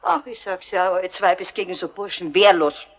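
An actress playing a scolding, self-pitying caretaker with a Bavarian accent in a children's radio play. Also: female voice, fairly low pitch, fairly quick. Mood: plaintive. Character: aggrieved, scolding Bavarian caretaker woman, middle-aged or older.

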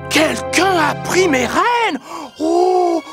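An actor playing a jolly, flustered old Father Christmas in a cartoon, excitable and full of dithering exclamations. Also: very high voice, extremely slow, exaggerated voice. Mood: worried, panicked. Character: jolly but flustered old Santa Claus. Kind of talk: animated cartoon dialogue. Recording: music behind the voice.

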